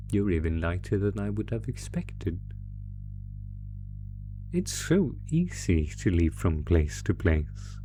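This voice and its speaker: A man's voice: low-pitched, warm tone. A man voicing an ancient, possessive monster captor in a horror roleplay, gloating with menacing, mock-tender teasing. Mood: cold menace. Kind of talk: horror roleplay audio acting.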